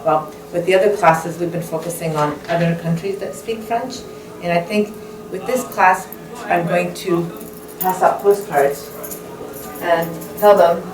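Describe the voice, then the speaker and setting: A female French teacher speaks to parents thoughtfully, pausing and restarting her sentences. Her voice is lowish and comes from far off in an echoey room with audible hiss. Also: fairly even intonation. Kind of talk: addressing parents at a school open evening. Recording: echoey classroom from far off, background noise.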